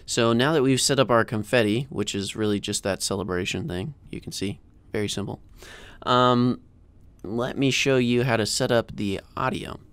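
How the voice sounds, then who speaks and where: An American man in his thirties hosting a casual software screencast tutorial in an informal, chatty way, close to a desk mic. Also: medium pitch, moderate pace, clear, resonant tone, no echo. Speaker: tutorial host. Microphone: close desk microphone.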